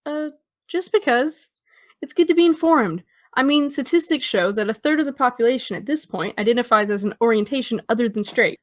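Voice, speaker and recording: A fairly high voice, recorded dry: a young woman playing a guarded American teenage girl. She is wry and understated, with a dry wit, in natural audio drama dialogue. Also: brisk, no echo, natural voice. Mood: matter-of-fact. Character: guarded, dryly witty, particular teenage girl.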